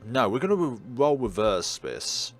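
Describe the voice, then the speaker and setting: A man's voice: mid-range voice, big pitch swings, a little slow. A man doing let's play commentary, reading game narration aloud theatrically with wry asides. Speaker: game streamer. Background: game music.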